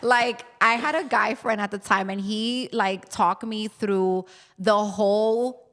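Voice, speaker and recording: A woman's voice: medium pitch, fairly even intonation, takes it slowly, a bit bright. A woman, a guest telling her story in a candid, chatty way, laughing often and repeating words as she searches. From New York City. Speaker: interview guest. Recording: long echoing reverb.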